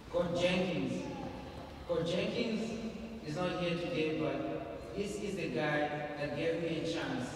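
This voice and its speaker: Slow, earnest man. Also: a little high. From Zambia. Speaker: hall of fame inductee. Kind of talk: acceptance speech. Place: large hall at an awards ceremony. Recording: echoey hall, far from the mic, background noise.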